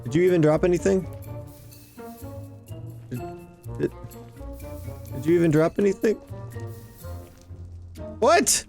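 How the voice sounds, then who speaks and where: Guy doing chatty gaming video, really animated pitch swings, bit of music behind him. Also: slow, gravelly, long pauses. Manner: animated, exclaiming, talking to himself. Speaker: gaming YouTuber hosting a Minecraft series. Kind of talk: casual gameplay commentary.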